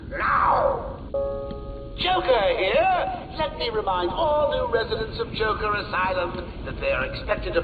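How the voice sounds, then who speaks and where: An actor playing a gleeful, sadistic clown villain in a video game, taunting and mocking with manic laughter. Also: male voice, sits high, echoey room, background noise, full character voice. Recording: tinny distant loudspeaker sound with music.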